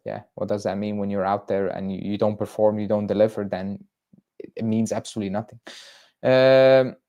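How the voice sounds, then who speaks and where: A man's voice: on the low side, warm tone. Chatty Belgian-born man on a livestream. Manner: rambling, lots of fillers and restarts, chatty. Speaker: football fan channel host. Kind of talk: casual livestream chat reading viewer comments.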